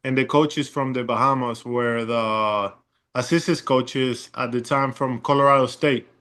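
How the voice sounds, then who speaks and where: A young man from Chile with a Chilean Spanish accent, a guest in a casual interview, talking in a relaxed, rambling way with many fillers. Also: medium pitch, measured pace, clear, resonant tone. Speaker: guest, pro basketball player.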